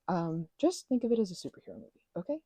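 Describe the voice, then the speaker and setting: A woman rambles through a soft-spoken crafting chat, eager and full of asides. Her voice is mellow and breathy with a gentle vocal fry. The sound is dry and close to the microphone. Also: lowish voice, sing-song, quick pace, no room sound. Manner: chatty, enthusiastic, trails off into restarts and asides. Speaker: ASMR channel host.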